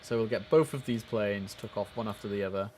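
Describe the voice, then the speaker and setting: A man's voice: lowish voice, a little fast. A man hosting a casual game livestream, chatting away and slipping into clipped air traffic radio phrasing. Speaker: livestream host. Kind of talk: casual game streaming commentary. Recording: steady background noise.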